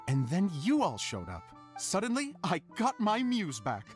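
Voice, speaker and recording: A man voicing an excitable, self-important playwright and director in a video game, speaking in a dramatic, effusive way. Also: sits high, a little slow, soft background music, exaggerated voice. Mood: excited.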